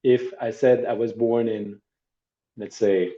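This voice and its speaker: Middle-aged male instructor, very dark mellow voice, casual live demo over a dull video-call line. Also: mid-range voice, slow pace. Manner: relaxed, conversational, with hesitations and asides. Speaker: workshop instructor. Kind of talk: live online training demo.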